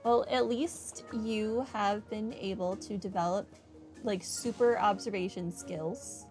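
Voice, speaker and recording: A woman's voice: medium pitch, takes it slowly, music in the background. A woman hosting a casual game playthrough, rambling along in a self-deprecating way. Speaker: gaming host. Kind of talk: casual playthrough commentary, reading dialogue aloud.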